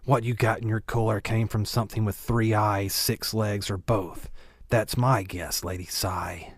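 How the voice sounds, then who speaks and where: A laconic frontier gunslinger, acted by a male narrator, low and gravelly. He talks tersely and stolidly, with quiet menace under the calm, in a western audiobook. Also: a little slow, dry recording, studio quality. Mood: dry suspicion. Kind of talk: audiobook character dialogue.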